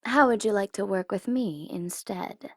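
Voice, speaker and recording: Woman acting a slow, teasing female supervillain. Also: medium pitch, no room sound, clean studio sound. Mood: flustered, fond. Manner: taunting, coy, commanding, with drawn-out deliberate phrasing. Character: teasing, menacing tsundere female supervillain, secretly smitten. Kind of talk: ASMR audio roleplay.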